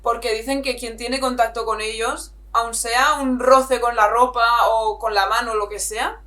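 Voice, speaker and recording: Woman, young adult, high pitch, casual self-correcting video review. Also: steady tone, normal speed, bright, forceful tone. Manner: chatty, self-correcting, apologetic. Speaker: manga reviewer, channel host.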